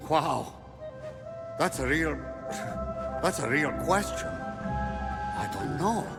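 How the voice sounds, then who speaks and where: A man's voice: fairly high pitch, expressive, extremely slow, creaky, exaggerated voice. A man voicing a spaced-out, giggly temple priest who rambles dreamily, in a comic video game cutscene. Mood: dazed, musing. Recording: long reverb, music behind.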